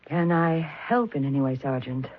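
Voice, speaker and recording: An actress playing a composed, formal widow who speaks in a measured, cool way, in a 1970s radio drama. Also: female voice, sits low, animated. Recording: tinny old radio broadcast.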